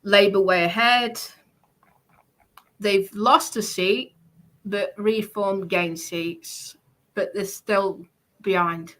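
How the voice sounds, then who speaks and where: A woman with a British accent and a bright, forward voice at a middling pitch. She reads through points as a casual presenter, explaining as she goes and sometimes halting mid-list. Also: steady tone, rough recording. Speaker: presenter going through party policies. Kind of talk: casual talk, reading aloud from notes.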